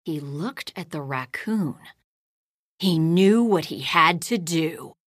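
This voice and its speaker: Woman narrator for a kids' storybook read-along: unhurried, very expressive, dry close sound. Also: sits low, dry recording. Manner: slow, lively storytelling, hushed at bedtime lines and loud in action. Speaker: storybook reader. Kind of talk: read-aloud children's bedtime story.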